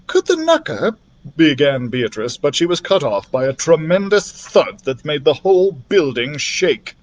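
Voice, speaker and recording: A man narrating a children's fantasy novel as a library storyteller, expressively, in an animated manner. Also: on the high side, a little slow, slight background noise. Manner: animated, acts out the characters' dialogue.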